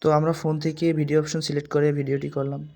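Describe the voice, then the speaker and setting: Man with a higher-pitched voice. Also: flat delivery, very fast, creaky, dark tone. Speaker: tutorial host. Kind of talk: step-by-step app tutorial narration.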